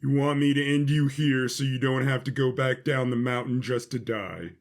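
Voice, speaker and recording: A man voicing a world-weary, blunt bounty hunter with a deadpan manner in an audio story. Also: medium pitch, normal speed, close to an everyday voice. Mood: businesslike, dry.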